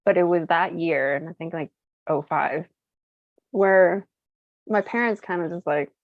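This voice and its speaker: A chatty woman in her thirties from Colorado, with Cantonese as her first language, telling her story with plenty of "like" and "um". Her voice sits a little low for a woman. The sound is dry and slightly dull, like a modest home setup. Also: a little slow, dry recording. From United States (Colorado). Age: 30s. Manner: chatty, uses many fillers and laughs easily. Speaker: interview guest, entrepreneur. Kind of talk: casual chat, storytelling.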